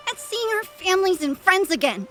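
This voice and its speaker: Woman doing a tiny, high, earnest little boy. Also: very animated, brisk, a bit bright, soft background music, heavily acted. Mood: earnest, determined. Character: earnest, brave little boy in a cartoon parody. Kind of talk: fan-dub parody cartoon acting.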